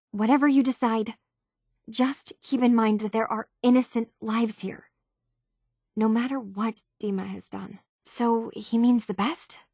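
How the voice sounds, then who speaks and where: A woman's voice: a little high, darkish tone, no echo, clean studio sound. An actress playing an earnest, troubled young woman unsure of who she is, speaking in a hesitant, sincere way for video game dialogue. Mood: thoughtful.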